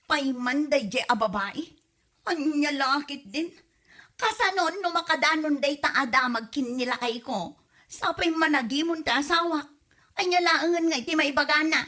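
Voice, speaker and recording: A woman's voice: sits high, normal speed, bright, forceful tone. A woman acting a hot-tempered married woman in a comedy drama, scolding and exclamatory. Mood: worried, annoyed. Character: furious scolding married woman confronting a gossip.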